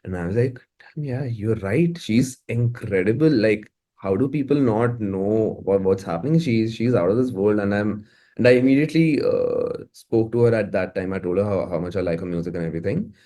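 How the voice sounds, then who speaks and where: Mellow Indian man, low-voiced. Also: moderate pace. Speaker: music producer, interview guest. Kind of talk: casual, conversational interview talk.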